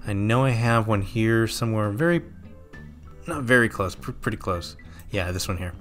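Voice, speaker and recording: Male let's-play commentator, lowish voice, laid-back think-aloud delivery, mildly sore throat, faint music bed. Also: moderate pace. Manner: relaxed, thinking aloud with frequent ums and self-corrections. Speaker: gameplay commentator.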